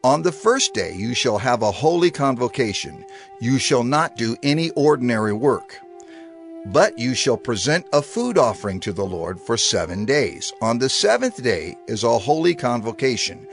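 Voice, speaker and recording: A man voicing a grave proclaimer of divine law, measured and authoritative. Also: medium pitch, very animated, creaky, soft background music. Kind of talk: dramatized scripture reading.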